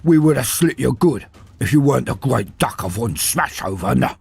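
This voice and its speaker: A man's voice: medium pitch, animated, moderate pace, creaky, husky, soft background music, full character voice. A man voicing a brutish, menacing orc commando leader in an audio war story, speaking in crude threats. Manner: crude, ungrammatical threatening speech.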